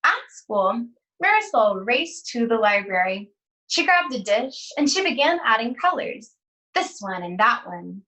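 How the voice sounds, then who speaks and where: A woman teacher reading a children's storybook aloud, with deliberate, expressive phrasing and pauses. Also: fairly high pitch, distant mic, poor audio.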